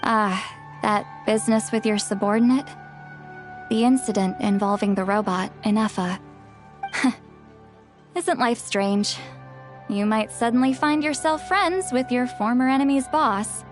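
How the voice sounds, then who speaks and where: An actress playing a proud, easily flustered young woman in a video game, expressive and quick to sound embarrassed. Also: a little high, faint music behind, lightly acted. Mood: amused, wry. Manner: expressive, indignant when embarrassed.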